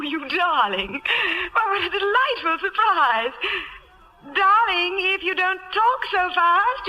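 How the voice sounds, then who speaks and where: A woman's voice: extremely high pitch, muffled recording, full character voice. A woman playing a catty, glamorous stage actress in an old radio comedy, cooing and gushing with theatrical insincerity. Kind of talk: 1940s radio comedy acting. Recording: noisy old radio broadcast.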